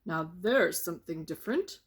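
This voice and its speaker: A woman's voice: on the low side, lively intonation, normal speed, husky. A woman voicing a brave, eager young prince in a children's storybook, musing aloud in a declamatory way.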